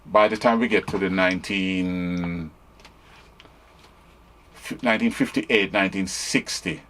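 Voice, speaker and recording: An older man from Jamaica, casually explaining music history and pausing to play examples on a keyboard. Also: deep voice, steady tone, a bit bright. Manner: teaching, stops to demonstrate on keyboard.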